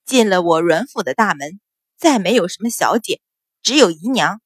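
A woman's voice: medium pitch, lively intonation, normal speed, breathy edge, dry recording, clean studio sound. A woman voicing a cold, wrathful matriarch of a great household, commanding and sneering, in a storybook drama.

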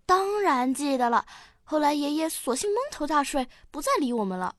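Woman acting a high-voiced, curious little girl. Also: lively intonation, moderate pace, no room sound, studio quality. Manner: eager, questioning, childlike. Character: curious, quick-witted little granddaughter. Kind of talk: radio drama acting.

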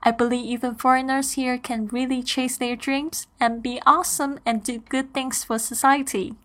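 A woman's voice: high voice, little pitch movement, moderate pace. Upbeat woman podcast host from Taiwan. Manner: reads a prepared script steadily, earnest and upbeat. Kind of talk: scripted podcast introduction.

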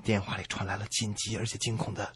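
A man's voice: lowish voice, level tone, quick pace, a bit bright, husky, no room sound. A man co-hosting a comedy radio show, telling jokes in back-and-forth banter. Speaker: comedy radio co-host, joke teller. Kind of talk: comic two-person banter and joke telling.

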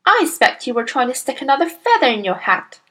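A woman's voice: high voice, big pitch swings, fast talker, pushed and bright, voice pushed up, exaggerated voice. A woman voicing a bossy, scornful wife and mother in a storybook, scolding and nagging.

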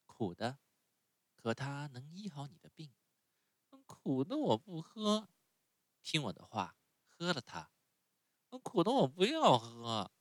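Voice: medium pitch, big pitch swings, a little slow, pauses often, no echo, voice pushed up. A storyteller voicing a stubborn, whining wooden puppet boy who is sick in bed. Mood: whiny. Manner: whining, pleading, wailing.

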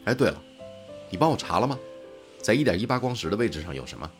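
A man acting as a curious, easygoing researcher in a sci-fi radio play. His voice is notably low, and he talks in a casual, tentative way over background music. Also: big pitch swings, fast. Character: Liu Miao, laid-back curious male night-shift astronomy researcher. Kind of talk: audio drama dialogue.

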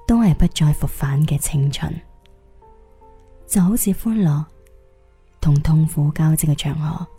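Woman acting reflective young woman, Cantonese accent, low pitch, unhurried, wistful radio drama monologue, music behind. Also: dark tone, husky, heavily acted.

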